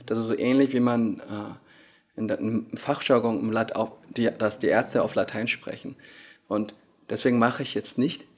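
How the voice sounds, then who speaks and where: A man in his thirties, Korean-born, who speaks with many pauses and fillers as a yoga teacher answering interview questions, recorded indoors on a handheld recorder. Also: lowish voice, steady tone. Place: hotel lounge at a conference, indoors. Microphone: handheld recorder held by the interviewer.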